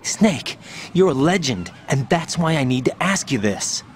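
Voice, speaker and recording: A man's voice: fairly high pitch, sing-song, a little slow, a little hiss or hum. An actor playing a young special-forces agent in a video game, earnest and reluctant, questioning what he hears. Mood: earnest, doubting. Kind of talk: video game cutscene dialogue.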